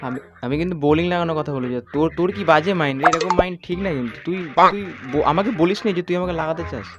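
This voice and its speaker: A man's voice: a little high, rapid-fire, highs cut off. A young man in casual, teasing video-host talk, mixing in English phrases. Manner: informal, mixes in English phrases. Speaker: prank call video host. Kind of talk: casual chat to viewers and teasing phone prank.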